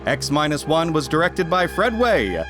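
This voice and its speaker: A man's voice: a little high, sing-song, normal speed. A man reading a scripted announcement as a radio announcer in 1950s style. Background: music.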